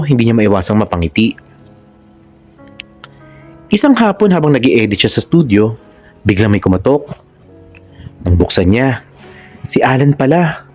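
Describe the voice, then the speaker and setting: A man's voice: mid-range voice, a little mellow. A man with a Filipino accent reading a scripted romantic story as narrator. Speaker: narrator and channel host.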